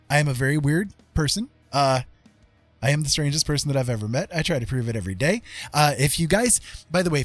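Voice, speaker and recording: A man's voice: medium pitch, no echo. Rambling middle-aged man over music. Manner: rambling, conversational, self-deprecating. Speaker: livestreamer hosting an art tutorial and chat. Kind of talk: casual chat to a live chat room.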